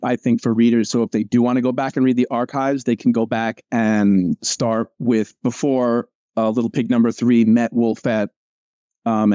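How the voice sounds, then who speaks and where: A man's voice: mid-range voice, dark tone, no echo. A man, a comic strip cartoonist, chatting casually and rambling with lots of fillers and restarts. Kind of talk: casual chat over a video call.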